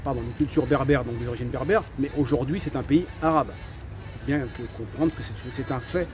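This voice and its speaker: A man's voice: medium pitch, fairly quick. A man from France giving his opinion to camera, hesitant, with many fillers and repeated words between firm statements. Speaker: vlogger.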